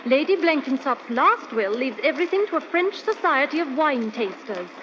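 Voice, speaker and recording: Earnest, high-voiced young companion. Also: female voice, very animated, moderate pace. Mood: earnest, polite. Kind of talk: old-time radio mystery acting. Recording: noisy, muffled old radio recording.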